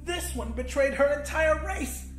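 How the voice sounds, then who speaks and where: A man's voice: extremely high pitch, measured pace, pitched above the performer's own voice. A man voicing a pompous, theatrical shadow monster, a gleeful villain in a horror audiobook. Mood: mock concern. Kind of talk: dramatic horror audiobook character voice. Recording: roomy echo with faint music.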